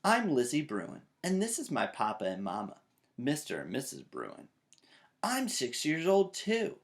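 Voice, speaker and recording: A man voicing a lively, competitive six-year-old girl bear cub in a storybook. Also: medium pitch, unhurried. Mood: friendly.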